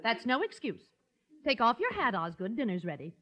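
An actress playing a fussy but firm middle-aged wife with an American accent, in 1950s radio sitcom style. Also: female voice, fairly high pitch, wildly swooping pitch, normal speed, pushed and bright, heavily acted. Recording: muffled vintage radio broadcast.

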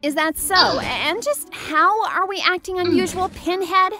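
Woman as cartoon princess, very high bright voice, angry, bossy, sharp-tongued, lively swoops. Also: a little slow, soft background music, exaggerated voice. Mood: suspicious. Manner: snappish, sarcastic, exasperated. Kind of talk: animated series fan dub.

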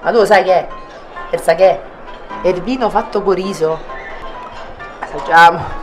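A young woman with a Roman accent performing a comic sketch in an animated, slangy, theatrical way. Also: lowish voice, measured pace, rough recording. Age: young adult. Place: small restaurant dining room, indoors. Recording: background music under the voice.

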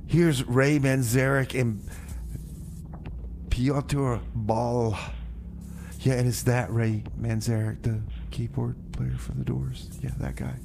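A man's voice: mid-range voice, steady tone, slow pace, vocal fry, background noise. A man hosting a community radio music show, rambling and stammering through his stories. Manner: rambling, stammering, repeats himself. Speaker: radio DJ host. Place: radio studio.

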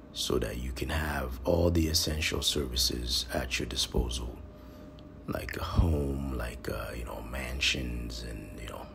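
A man hosting a podcast, giving a promotional monologue in a deliberate, portentous way with emphatic pauses. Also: rumbling low, vocal fry. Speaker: podcast host. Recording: noticeable background noise.

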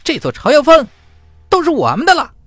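Shrill, gloating henchman. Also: huge pitch swings, brisk, fairly bright tone, dry recording, heavily acted. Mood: gloating, excited. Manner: chuckling, sneering. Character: gloating male henchman, sneering and excited. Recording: background music under the voice.

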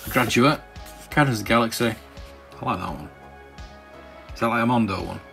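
A man's voice: mid-range voice, normal speed, gravelly, fairly bright tone. A man casually browsing a catalogue and commenting as a channel host, rambling and hesitant, with many ums and restarts. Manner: enthusiastic collector's remarks. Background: faint background music.

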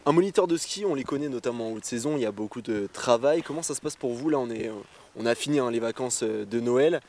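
Brisk, fast-talking interviewer on a ski slope. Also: mid-range voice, darkish tone, slight background noise. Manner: brisk, asks questions in long run-on phrasing. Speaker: show host and interviewer. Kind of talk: interview questions read from notes. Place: outdoors on a snowy ski slope. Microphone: handheld mic.

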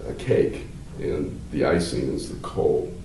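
An older man, a law professor, explaining at length in a measured, halting way with many fillers, seated in a wood-paneled room. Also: very deep voice, creaky, warm tone. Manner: gestures with hands while talking. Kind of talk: explanatory interview answers. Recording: roomy, slightly distant, faint hiss.

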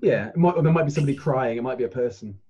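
A man's voice: mid-range voice, rapid-fire, mellow, slightly rough. A man chatting as a player in a tabletop roleplaying game over a muffled online call. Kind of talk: casual game chat.

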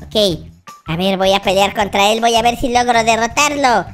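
A performer voicing an excitable, naive baby boy with a childlike squeaky voice, giving dramatic, exclamatory roleplay commentary for a children's gaming video. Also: moderate pace, soft background music, natural voice. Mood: determined. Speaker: YouTube gamer playing a character.

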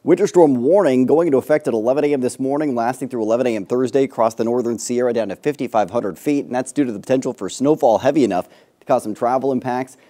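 Male TV meteorologist, warm low-mid voice, upbeat and steady forecast read. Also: fast. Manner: upbeat, steady on-air delivery. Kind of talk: broadcast weather forecast.

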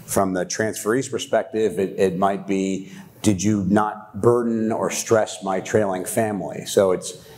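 A man's voice: lowish voice, steady tone, measured pace, darkish tone. A man speaking as a panelist at a conference, thoughtful, often checking in with "right?", on a lapel mic in a large hall. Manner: thoughtful, frequent "right?" tag questions.

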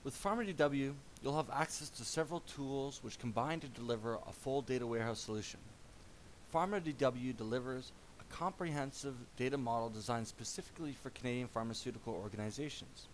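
A man narrating a software product demo as a voice-over, in a measured explanatory tone. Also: mid-range voice, expressive, slight background noise, poor audio.

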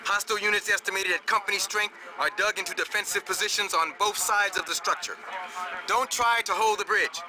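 A man's voice: high-pitched, steady tone, brisk, a crowd in the background, close to an everyday voice, poor audio. A man playing a clipped, authoritative field commander, giving scripted mission briefings in a war game. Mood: commanding. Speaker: military commander.

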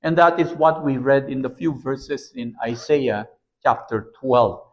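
Slow, deliberate older man preaching. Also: mid-range voice. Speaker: pastor. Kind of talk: sermon. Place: small church sanctuary, behind a pulpit.

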